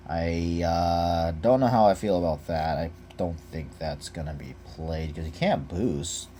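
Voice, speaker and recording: Low-pitched man, casual card reviewer. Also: measured pace, dark tone, a little hiss or hum. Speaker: card game unboxing vlogger.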